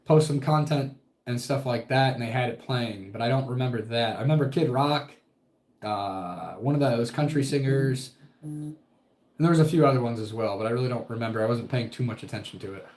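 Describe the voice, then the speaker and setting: A man's voice: mid-range voice, fairly quick, darkish tone, echoey room. A young man from Ohio hosting a casual livestream, chatting with viewers in a laid-back, profane way, his voice a little strained. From Toledo, Ohio, United States. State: voice strained, worried about losing it. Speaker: livestream host. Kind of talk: casual livestream chat reading viewer comments.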